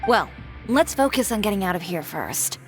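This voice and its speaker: A woman's voice: mid-range voice, animated, moderate pace, lightly acted. A woman playing a composed, confident young fashion designer with a cool, matter-of-fact manner in game dialogue. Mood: reflective, resolute. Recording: music behind the voice.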